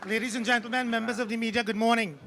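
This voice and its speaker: A man's voice: very high voice, fairly even intonation, very fast, sharp tone, a little hiss or hum. A man with a Trinidadian accent, an ambassador, giving a stately ceremonial speech outdoors. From Trinidad and Tobago. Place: outdoors on a city street.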